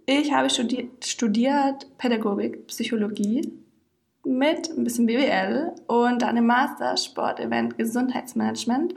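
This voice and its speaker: A woman's voice: a little high, gravelly. Giggly young woman chatting casually. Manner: giggly, bubbly. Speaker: podcast co-host. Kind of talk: casual chat.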